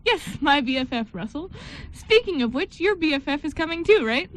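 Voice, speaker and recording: Woman acting a very high-voiced sweet bride-to-be. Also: big pitch swings, a little fast. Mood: playful. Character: affectionate, giggly young bride-to-be, sweet and teasing. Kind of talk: amateur radio play script reading.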